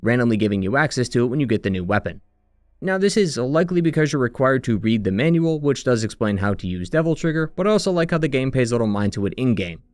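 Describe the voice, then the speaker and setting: Male narrator for a long-form gaming video essay. Mid-pitched voice, scripted analytical read, very dry recording. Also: no echo. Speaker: narrator of a video game retrospective.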